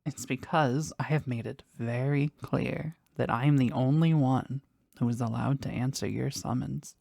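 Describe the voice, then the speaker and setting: A woman playing a powerful, jealous demon devoted to their master in an intimate audio roleplay, speaking deliberately and intensely in a husky, breathy voice. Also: steady tone, dark tone, no echo, studio quality, heavily acted. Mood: possessive, proud. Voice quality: husky, breathy, low creaky voice. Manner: slow, deliberate, intense, dramatic.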